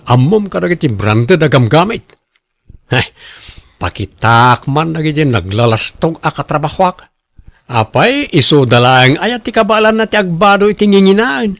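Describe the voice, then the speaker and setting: An actor with an Ilocano accent playing a boastful, defensive husband who loves showing off, in a radio drama. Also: male voice, high voice, gravelly, a bit bright, no room sound, studio quality, exaggerated voice. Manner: showy, bragging. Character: boastful, defensive husband and co-worker obsessed with costly branded clothes.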